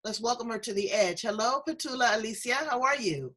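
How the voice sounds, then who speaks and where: A woman hosting a conversational interview, talkative, effusive and playful, with a husky voice. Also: fairly high pitch, lively intonation, brisk, bright, forceful tone. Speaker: show host interviewing a guest.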